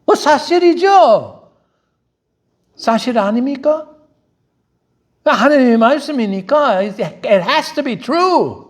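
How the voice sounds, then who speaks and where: A middle-aged male pastor preaching a bilingual sermon in a church, loud and emphatic, firing off rhetorical questions and shifting between Korean and English. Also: extremely high pitch, animated, rapid-fire, pauses often. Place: church sanctuary.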